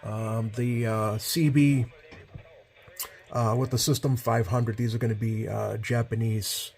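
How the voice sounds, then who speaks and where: Halting man, casual bench demo. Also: mid-range voice, flat delivery, vocal fry, darkish tone, breathy edge. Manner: hesitant, halting, rambling with self-corrections. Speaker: host, CB radio collector. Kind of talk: casual product demonstration. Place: indoors at a workbench.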